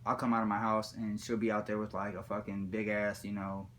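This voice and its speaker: A man's voice: fairly low pitch, flat delivery, a little fast, very noisy. A man talking casually in a rambling, profane, slangy way, like someone spinning comic stories. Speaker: storyteller.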